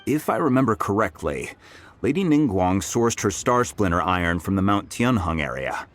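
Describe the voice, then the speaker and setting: An actor voicing a friendly, humble blacksmith in a video game, chatty and self-deprecating. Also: male voice, on the low side, very animated, moderate pace, fairly bright tone, soft background music.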